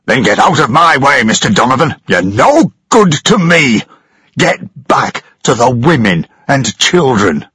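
A man's voice: fairly low pitch, big pitch swings, slow, breathy edge, dry recording, clean studio sound, heavily acted. A man voicing a blustering, pushy ship captain who talks down to others. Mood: angry. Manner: pushy, dismissive.

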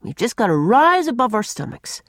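A woman's voice: a little high, very animated, normal speed, a bit bright, dry recording, studio quality, pitched above the performer's own voice, exaggerated voice. A woman voicing a bossy, stoical boy with an emphatic, commanding manner in a storybook. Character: bossy, stoical boy, firm older brother.

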